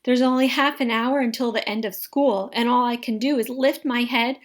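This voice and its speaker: A woman reading a children's picture book aloud in an animated, dramatic way, drawing out words for effect. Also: a little high. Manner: expressive, dramatic delivery with drawn-out words. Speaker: story reader.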